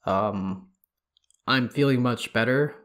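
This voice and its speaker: A man hosting a podcast, sounding congested as he reads lore in a steady, measured way. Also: medium pitch. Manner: steady, measured reading of reference material. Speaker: podcast host. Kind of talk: scripted lore narration.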